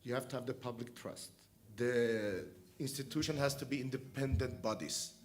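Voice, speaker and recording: A man's voice: medium pitch, moderate pace. Armenian-native guy in his 30s, panel speech in a conference hall, earnest and plain. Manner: earnest, plain-spoken, lightly humorous. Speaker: panelist, member of parliament.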